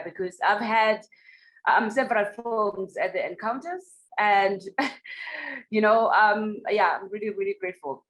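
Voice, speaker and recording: A woman with a South African accent, a documentary director, answering in a reflective way with many pauses and fillers. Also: medium pitch, fairly bright tone, low-quality recording. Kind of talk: online festival Q&A.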